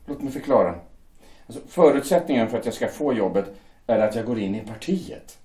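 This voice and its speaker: A man playing a young German shipyard worker who speaks hesitantly and haltingly, torn by conscience. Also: mid-range voice, lively intonation, warm tone, lightly acted. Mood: troubled. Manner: hesitant, halting, repeats words. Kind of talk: amateur audio drama acting. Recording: echoey room.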